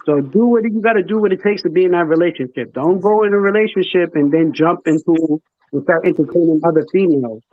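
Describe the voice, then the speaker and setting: High-voiced slangy man on a panel. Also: quick pace, narrow, tinny audio. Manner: slangy, emphatic, repeats himself for effect. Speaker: call-in panelist. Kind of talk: casual opinionated panel chat.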